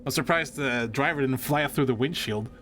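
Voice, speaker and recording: A man's voice: medium pitch, fairly quick, fairly bright tone, a little hiss or hum. A man streaming a video game, giving wry, joking asides between lines of dialogue he reads aloud. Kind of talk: live gaming commentary, reading dialogue aloud in voices.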